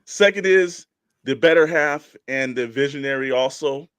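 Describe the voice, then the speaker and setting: A man's voice: mid-range voice, normal speed, a bit bright, smooth, dry recording. A man co-hosting a casual live show, hyping up guests in a folksy, effusive way and repeating phrases for emphasis. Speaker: podcast co-host.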